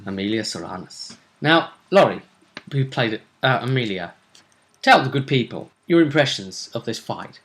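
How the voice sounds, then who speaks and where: A man with a bright, forceful voice talks through a tabletop game session as host. He tells it casually and with some animation, like someone retelling fights to a friend. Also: medium pitch, lively intonation, moderate pace.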